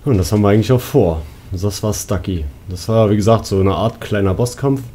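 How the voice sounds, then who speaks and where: A man with a slightly husky voice giving casual commentary as a let's play host. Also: on the low side, a little fast, warm tone, slight background noise.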